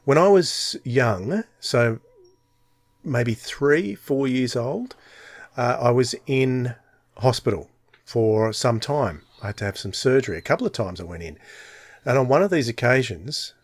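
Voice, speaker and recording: A man's voice: mid-range voice, animated, unhurried, dry recording. A man in his forties with an Australian accent, co-hosting a podcast in casual, chatty speech. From Tasmania, Australia. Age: 40s. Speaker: podcast co-host.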